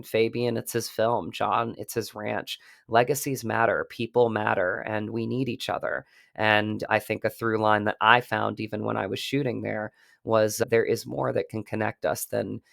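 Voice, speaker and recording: An American man speaks earnestly as an interview guest. His voice is low with a lot of creak, and the sound is dry and close. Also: a bit bright, no echo. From United States. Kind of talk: reflective interview talk.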